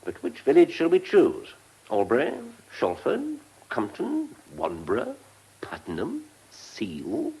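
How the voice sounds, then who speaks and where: Keen British civil servant, very animated. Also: male voice, fairly high pitch, slow pace, vocal fry, slightly rough, full character voice, poor audio. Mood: keen, plotting. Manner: polite, eager, precise. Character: deferential, keen, scheming male senior civil servant. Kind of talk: mid-century British radio drama acting.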